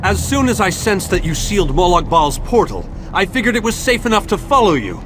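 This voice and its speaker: An earnest fantasy game ally giving encouraging mission orders. His pitch is a bit high for a man and moves up and down a lot, with music clearly playing behind him. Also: big pitch swings, fairly quick, background noise. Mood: earnest, urgent. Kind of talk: scripted fantasy game dialogue.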